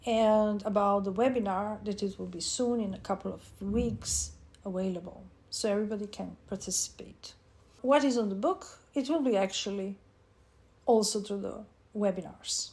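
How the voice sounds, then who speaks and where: A woman from Serbia, speaking, presents her book with slow, halting speech and frequent pauses. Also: medium pitch, a little mellow. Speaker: author and divination teacher.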